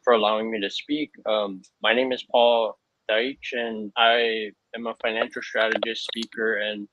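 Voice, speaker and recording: A man's voice: on the low side, flat delivery, a little slow, background noise. A man from Hawaii speaking as a panel guest, earnest and thoughtful, with frequent fillers. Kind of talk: reflective panel talk. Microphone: video call. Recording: dull lo-fi, dry sound.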